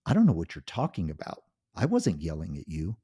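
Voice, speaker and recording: A cutting, condescending wife sneers and taunts in a lively, swooping voice, as a male narrator puts her on. The tone is soft and husky underneath the scorn. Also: low-pitched, fairly quick, exaggerated voice. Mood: cool mockery. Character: scornful cold-hearted wife, adult woman.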